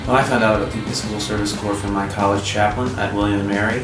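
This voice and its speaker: A young American man talks about himself in a fairly low voice. He sounds distant from the mic in a roomy space, with guitar music clearly behind him. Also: steady tone, very fast. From Virginia, United States. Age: 20s. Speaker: service program intern. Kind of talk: unscripted personal testimonial.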